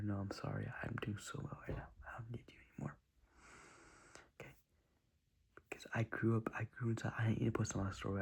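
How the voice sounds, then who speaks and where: A man's voice: lowish voice, gravelly, slightly rough, low-quality recording. A young man giving earnest, rambling advice in a whispery voice, circling back and repeating himself.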